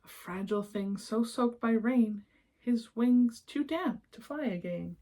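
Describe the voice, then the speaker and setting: Female children's read-aloud presenter, slightly husky, gentle and encouraging, talks straight to the young listener. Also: a little high, expressive, measured pace. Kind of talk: expressive storybook reading with asides to young listeners.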